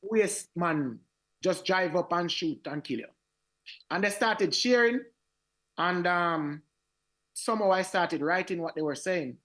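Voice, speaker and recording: Raspy Jamaican man, animated storyteller. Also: sits high, unhurried. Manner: animated, acts out quoted voices, repeats words. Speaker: reggae singer, guest. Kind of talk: radio interview storytelling.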